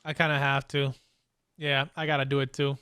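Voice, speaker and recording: A man hosting a casual podcast, talking excitably and repeating phrases for emphasis. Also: medium pitch, fairly quick, clean tone, no room sound, clean studio sound. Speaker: podcast host.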